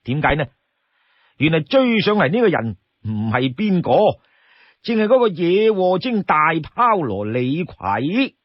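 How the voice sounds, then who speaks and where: Animated Cantonese male storyteller, slightly husky. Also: on the high side, a little slow, dry recording, muffled recording. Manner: expressive, rhetorical questions to listeners. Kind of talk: dramatic audiobook-style story narration.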